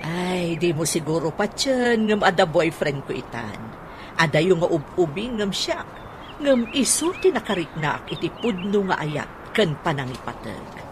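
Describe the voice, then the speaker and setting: Giggly, lively older aunt. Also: female voice, lowish voice, measured pace. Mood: cheerful, smitten. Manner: giggly, animated. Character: cheerful older widowed aunt, happily in love. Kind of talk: Ilocano radio comedy drama acting. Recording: radio drama with clear background noise.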